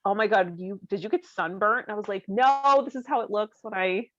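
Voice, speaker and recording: Female podcast co-host, casual and self-deprecating, giggly, mid pitch, muffled close recording. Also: fairly quick, no room sound. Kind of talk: casual comedic chat.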